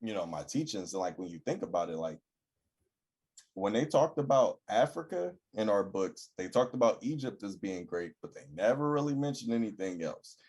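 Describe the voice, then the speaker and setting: A young man talks loosely on a podcast. His voice sits low with a husky edge, and he tells stories by acting out what everyone said. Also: normal speed. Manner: animated storyteller, acts out quoted people. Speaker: podcast co-host. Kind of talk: casual chat.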